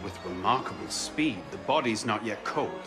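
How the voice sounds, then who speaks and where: A dry, guarded young assassin, as a man voices him, terse and serious in a game cutscene. His mid voice moves widely in pitch. Music runs beneath. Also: animated, moderate pace, close to an everyday voice. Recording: music underneath.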